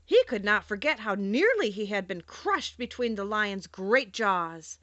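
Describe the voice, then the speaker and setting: A woman narrating a classic children's audiobook in a clear, storytelling manner. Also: medium pitch, big pitch swings, unhurried, fairly bright tone, no echo, dull audio.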